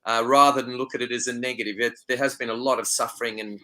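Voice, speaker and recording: A middle-aged man with an Australian accent talks over a video call. His voice is bright and forward at an ordinary pitch. He comes across as upbeat and chatty. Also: fairly even intonation, fast talker. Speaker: wildlife photographer and guest expert. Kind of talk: casual interview talk.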